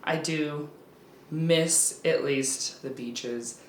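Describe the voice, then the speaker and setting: A woman's voice: low voice, very slow, slight background noise. A woman in her thirties from Alaska, a guest in a casual interview, talking candidly with frequent ums and restarts. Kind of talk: casual conversation. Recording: roomy echo, faint background ambience.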